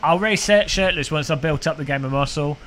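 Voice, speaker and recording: A man's voice: on the high side, fairly quick, a bit bright, a little hiss or hum. A man, chatting casually on a sim racing live stream, rambling and joking with his chat. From United Kingdom. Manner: rambling, joking, frequent restarts.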